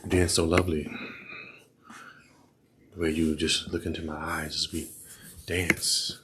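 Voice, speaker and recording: A man playing a smooth-talking man courting a dance partner, in a slow, crooning, flirtatious murmur. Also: rumbling low, level tone, background noise. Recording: echoey room, mic at a distance.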